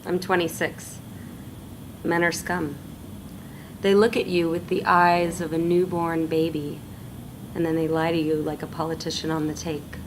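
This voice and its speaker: Actress as a slow, scornful woman in her twenties, lower voice. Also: flat delivery. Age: 20s. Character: scornful young man-hating woman. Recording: noisy indie film audio.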